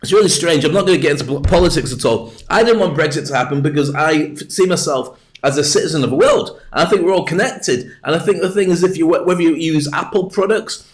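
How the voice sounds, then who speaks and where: A man's voice: on the high side, animated, fast talker, hardly any pauses. A British man hosting a livestream, talking in a chatty, jokey, rambling way into a webcam mic at his desk. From Britain. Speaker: livestream host. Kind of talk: rambling casual monologue.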